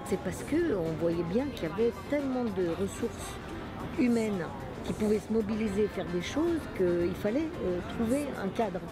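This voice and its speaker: A woman's voice: mid-range voice, lively intonation, normal speed. A woman speaking as an association organizer in a documentary interview, articulate and fond of lists. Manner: articulate, listing phrases. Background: music.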